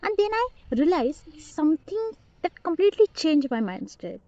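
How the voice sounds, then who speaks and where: Earnest young woman, soft-toned. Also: sits high, very animated, normal speed, dry recording, lo-fi sound. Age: young adult. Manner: hesitant, earnest, with restarts and grammar slips. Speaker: vlogger learning English. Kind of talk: personal vlog monologue.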